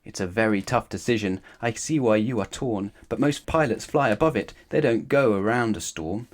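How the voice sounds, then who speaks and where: A man's voice: fairly low pitch, normal speed, natural voice. Man reading as a friendly female co-pilot. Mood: advising.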